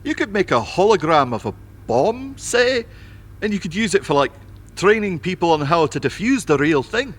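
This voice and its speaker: A man's voice: high voice, big pitch swings, moderate pace. A man playing a forthright, worried ex-soldier who works at a country house, in an audio drama. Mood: puzzled.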